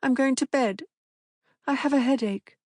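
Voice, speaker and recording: A woman's voice: high voice, normal speed, darkish tone, dry recording, studio quality, pitched above the performer's own voice. A woman doing a fragile trembling mother. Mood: pleading. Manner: tremulous, pleading. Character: downtrodden fragile wife and mother.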